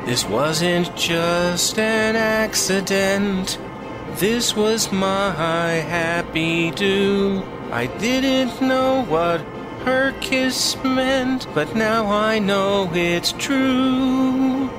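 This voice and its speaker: A man's voice: sits high, very slow, poor audio. Man playing a smitten starship officer, music behind. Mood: elated singing. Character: smitten male starship officer, a commanding superior. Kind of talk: fan audio drama acting with musical singing.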